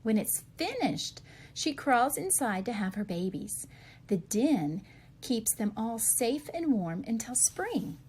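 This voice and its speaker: Woman doing a kids book read-aloud, slow and swoopy, bit husky. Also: fairly high pitch, slight background noise. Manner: expressive question-and-answer delivery, carefully paced for children. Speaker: read-aloud reader for young children.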